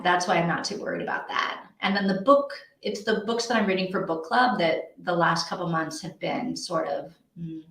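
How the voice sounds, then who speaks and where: A woman's voice: on the low side, fairly bright tone. A woman hosting a casual livestream, chatting in a rambling way as she thinks aloud. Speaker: host of a live reading sprint stream. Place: indoor room with echo. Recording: echoey untreated room.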